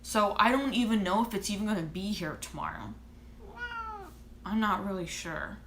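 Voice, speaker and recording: A woman's voice: mid-range voice, normal speed, fairly bright tone, a little hiss or hum. Chatty woman in her thirties, echoey room. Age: 30s. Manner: rambling, chatty, trailing off and restarting. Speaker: vlogger and author. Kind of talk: casual chat to camera.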